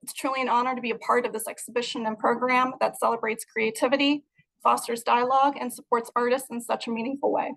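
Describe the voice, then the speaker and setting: A woman's voice: on the high side, flat delivery, fast talker, creaky, a bit bright, rough recording. A young adult woman from Moldova, giving an earnest, prepared talk about her art over a video call. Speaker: guest artist.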